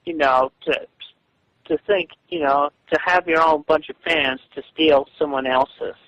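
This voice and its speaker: A man on a phone call, arguing defensively in a halting, repetitive way. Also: fairly high pitch, steady tone, no room sound. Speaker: webcomic artist. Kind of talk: phone argument. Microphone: telephone.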